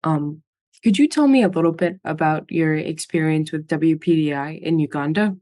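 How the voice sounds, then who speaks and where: A woman's voice: low voice, moderate pace, mellow. A woman interviewing a guest on a video call, asking polite questions. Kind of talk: virtual coffee chat interview.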